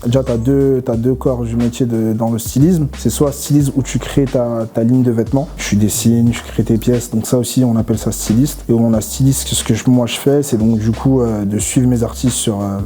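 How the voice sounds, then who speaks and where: A man's voice: medium pitch, normal speed, hardly any pauses, soft background music. A young man, a stylist, chatting casually and humbly in an interview, with plenty of fillers and restarts. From Ghana and Nigeria heritage, raised in France. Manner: relaxed, humble, slangy French with many fillers and restarts. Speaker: stylist, guest. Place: barbershop.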